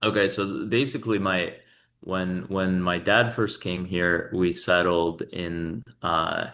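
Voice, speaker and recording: A young man talks as a podcast guest, easygoing and loose, full of "um"s and restarts. His voice sits very low and stays level, with a bright, forceful edge. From New York, United States. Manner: relaxed, rambling with many fillers and restarts. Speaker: guest, company founder. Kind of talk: casual interview conversation.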